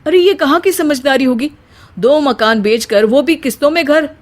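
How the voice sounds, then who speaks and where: A woman voicing a patient, sensible middle-class husband in a family story, speaking in a gentle, placating way. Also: sits high, normal speed, lightly acted. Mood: worried, reluctant. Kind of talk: dramatised Hindi family storytelling.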